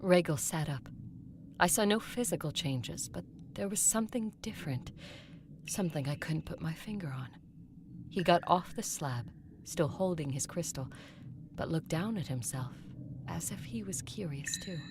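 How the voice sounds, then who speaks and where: A woman playing an ancient vampire conqueror, fond and watchful, narrating her own story in an audio drama with a creaky voice. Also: lowish voice, animated, moderate pace. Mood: tender, awed. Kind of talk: dramatized first-person audio fiction narration. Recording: clearly noisy recording.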